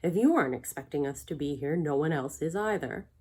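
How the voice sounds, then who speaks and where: Woman reading Kazul, a calm, dry female dragon. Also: deep voice, a little fast, slightly rough.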